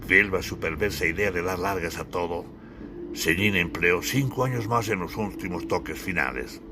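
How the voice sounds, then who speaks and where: A man's voice: sits low, normal speed, noisy background. A man reading a scripted audiobook in a solemn, literary, declamatory manner. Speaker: author narrating his own audiobook. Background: music.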